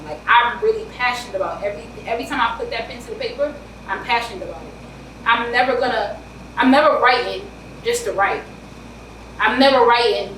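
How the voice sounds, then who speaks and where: An animated woman with a New York accent chats loudly as an interview guest, words tumbling out in quick bursts. Her voice sits a little high. She sounds distant in a roomy space with a faint hiss. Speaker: author guest. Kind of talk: casual interview chat.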